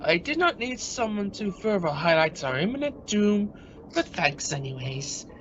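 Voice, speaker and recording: A man playing an excitable, sarcastic astronaut in an amateur radio play, animated and exasperated. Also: sits high, normal speed. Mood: alarmed sarcasm. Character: excitable, sarcastic astronaut crew member Lee. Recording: dull, noisy home-made radio play recording.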